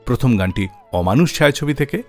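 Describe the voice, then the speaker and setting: A man presents a music radio show in a scripted narration style. His mid-pitched voice moves up and down expressively. The sound is dry and close, with faint music behind. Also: animated, fast.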